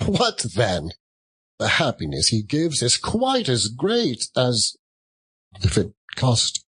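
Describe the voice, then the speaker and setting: A man voicing a softened miser in a storybook reading, earnest, defensive and halting. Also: medium pitch, slightly rough, heavily acted, poor audio. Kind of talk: dramatic audiobook reading of a classic story.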